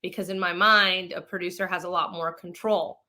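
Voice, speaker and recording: A woman's voice: fairly low pitch, steady tone, moderate pace, pushed and bright. A woman from Mexico being interviewed as an actress and producer, telling her story in a casual, chatty, informal way. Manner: chatty, self-quoting, informal.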